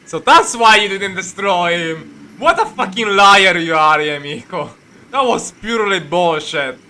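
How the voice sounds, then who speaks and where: Bright, high-pitched man streaming a game. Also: animated. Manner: halting, repeats himself, swears freely. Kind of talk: casual live game commentary.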